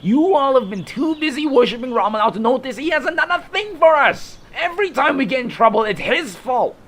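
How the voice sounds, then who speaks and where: A man's voice: extremely high pitch, lively intonation, a little fast, a bit bright, exaggerated voice. A man playing a resentful, whiny fantasy creature in an audio drama, griping and blustering as a would-be mutineer. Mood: indignant.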